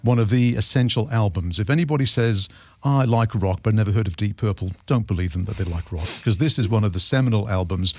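Deep-voiced older radio host. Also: male voice, fast, darkish tone. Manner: chatty, enthusiastic about records. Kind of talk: casual radio interview.

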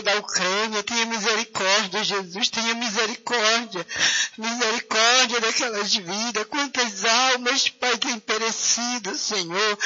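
Man, fervent pleading prayer, high piercing voice, dull lo-fi sound. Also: pushed and bright. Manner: pleading, with constant repeated invocations. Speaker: prayer leader. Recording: dull lo-fi recording.

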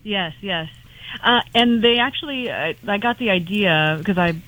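A woman's voice: medium pitch, moderate pace, fairly bright tone. A woman from California, a guest on a phone line, chatting in a friendly way with many fillers. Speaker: phone-in guest, children's book author. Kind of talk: casual interview chat.

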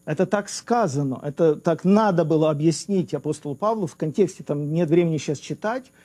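A man's voice: fairly high pitch, brisk, dark tone. A man in a theological debate, raising polite objections and pressing questions.

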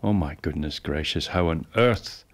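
A man's voice: extremely low pitch, moderate pace. A man in casual conversation, prompting with questions and exclamations.

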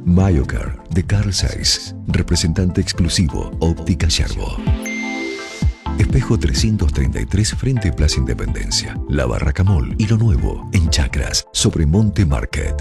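A man reading radio commercials as an announcer in a slow, deliberate way over a music bed. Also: very deep voice, very animated, creaky.

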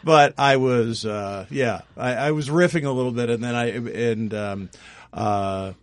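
A man's voice: medium pitch, unhurried, no echo, studio quality. A man hosting a morning radio panel discussion, talking in a chatty, rambling and self-deprecating way. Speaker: radio panel show host.

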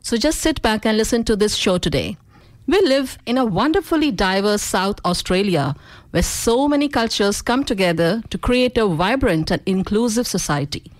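A woman hosts a radio interview from the studio, greeting listeners warmly and steering the talk. Her speech is sprinkled with uhs, and the sound is dry and close. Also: mid-range voice, lively intonation, moderate pace, dry recording. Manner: welcoming, many uhs and yeps. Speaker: radio host.

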